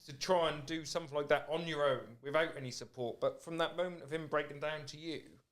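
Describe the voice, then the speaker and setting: A man hosting a podcast in casual talk. His voice sits a little higher than most men's. He sounds reflective and encouraging, with frequent backchannel agreement. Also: fairly even intonation, a little fast, fairly bright tone. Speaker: podcast host. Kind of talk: casual conversation.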